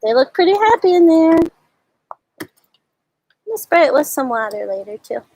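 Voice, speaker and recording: A woman hosting a storytime for young children, explaining and reading aloud in simple words with little questions, in a slightly husky voice. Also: high-pitched, sing-song, normal speed, a little mellow, a little hiss or hum, rough recording. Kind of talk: show-and-tell and read-aloud for young children.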